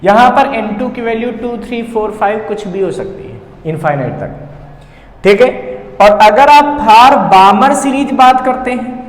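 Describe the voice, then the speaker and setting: A man's voice: high-pitched, animated, measured pace. A man with an Indian accent teaching physics step by step, asking questions and answering them himself, with English technical terms mixed in. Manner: mixes English technical terms into his talk. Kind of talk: step-by-step problem-solving lesson. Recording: echoey reverberant room, faint background noise.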